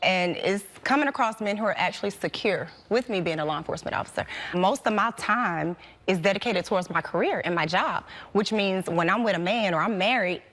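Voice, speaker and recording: A woman guest on a talk show, talking earnestly about her dating life with little halting restarts. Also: medium pitch, a bit bright. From American. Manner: earnest, talks with slight laughs, halting restarts. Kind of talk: casual talk show chat. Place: television studio.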